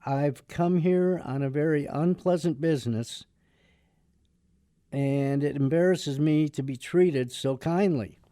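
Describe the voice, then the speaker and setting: A man acts out a reserved debt collector with an uneasy conscience in a radio play. He speaks slowly and politely in a mellow, close voice, terse and hesitant. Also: on the high side, no echo. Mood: embarrassed. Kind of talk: radio drama acting.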